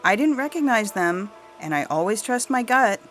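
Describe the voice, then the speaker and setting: A woman's voice: a little high, big pitch swings, moderate pace, fairly bright tone, soft background music. A woman playing a self-righteous, suspicious suburban mother, telling her tale in a gossipy, indignant way for an audio story. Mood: smug. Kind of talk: scripted audio fiction monologue.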